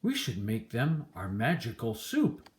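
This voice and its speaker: Casting: woman as hungry folktale traveler, a showy talker with drawn-out delivery and very animated intonation. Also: rumbling low, voice pushed down, exaggerated voice. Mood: sly. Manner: loud, slow, drawn-out proclaiming. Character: two hungry, hearty, showman-like travelers selling magical soup.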